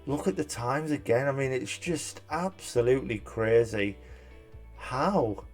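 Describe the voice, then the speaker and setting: Casual male reviewer, mid-pitched, a bit hoarse, rambling with fillers, light music under. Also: a little slow, a little mellow. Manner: chatty, rambling, frequent fillers and restarts. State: slightly hoarse. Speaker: trophy list reviewer. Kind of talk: casual commentary.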